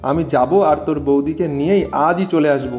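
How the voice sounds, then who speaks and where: Man playing a homely village farmer, higher-voiced. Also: fairly quick, darkish tone, soft background music, lightly acted. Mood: friendly. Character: weary married village farmer, good-natured but easily exasperated. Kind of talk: animated moral story dialogue.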